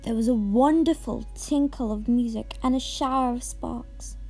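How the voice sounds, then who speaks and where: High-pitched young female storyteller. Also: moderate pace, mellow, soft background music. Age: child or very young. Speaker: storybook reader. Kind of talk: scripted fairy-tale narration.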